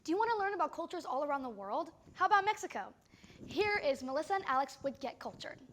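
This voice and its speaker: A woman's voice: high voice, big pitch swings, fast talker, heavy background noise. A teenage girl introducing segments in an eager, questioning tone. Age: teens. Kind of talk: scripted intro.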